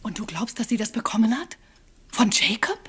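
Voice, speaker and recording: A woman's voice: on the high side, very animated, moderate pace, fairly bright tone, slightly rough, heavily acted. An actress playing an anxious, guarded wife and mother in a radio crime drama, earnest and apprehensive.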